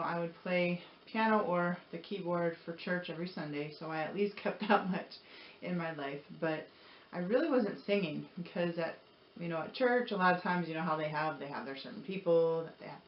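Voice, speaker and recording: A woman telling her personal story in a casual, motivational vlog style, conversational and repeating phrases for emphasis. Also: on the low side. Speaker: vlogger. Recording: roomy echo, dull sound, faint noise.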